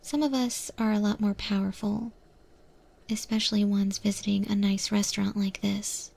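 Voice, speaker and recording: A woman playing a calm, courteous eldritch monster chef in a soft-spoken, whispered ASMR roleplay. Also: mid-range voice, creaky, slightly rough. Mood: gently cautioning. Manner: slow, gentle, polite, unhurried.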